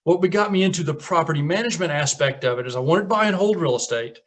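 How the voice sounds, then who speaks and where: Brief: fifties Southern man, Tennessee accent, folksy storyteller voice, a bit higher pitched. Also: fast talker. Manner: folksy storytelling, chuckles at own lines. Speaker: guest property manager. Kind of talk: casual radio interview.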